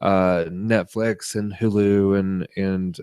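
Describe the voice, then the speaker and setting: A man co-hosting a podcast, chatting casually about tech and media in a halting, rambling way. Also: deep voice, level tone, a little mellow, clear, resonant tone, no echo, clean studio sound. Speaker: podcast co-host.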